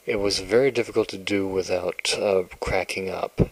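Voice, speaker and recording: A man giving a plain, matter-of-fact spoken introduction to his own recordings, in a slightly halting way. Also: deep voice, steady tone, no echo, rough recording.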